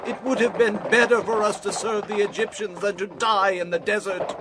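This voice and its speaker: A man's voice: sits high, fairly even intonation, normal speed, noisy background, lightly acted. A man playing a frightened Israelite who complains bitterly and accusingly in a dramatized scripture audio drama. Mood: fearful, accusing. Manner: accusing, agitated. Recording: dramatic music behind voice.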